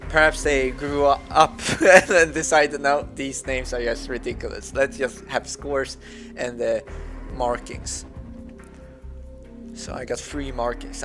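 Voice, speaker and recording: Swedish guy rambling over gameplay, lots of uhs and restarts, mid pitch, faint music underneath. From Sweden. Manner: hesitant, many fillers and restarts. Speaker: gaming YouTuber. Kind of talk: casual gameplay commentary.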